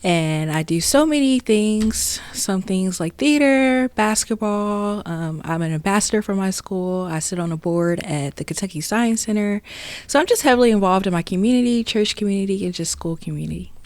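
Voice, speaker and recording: A woman's voice: medium pitch, expressive, normal speed, darkish tone, no room sound. A teenage girl answering podcast interview questions in an upbeat, earnest way, with short fillers. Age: teens. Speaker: guest, youth council member.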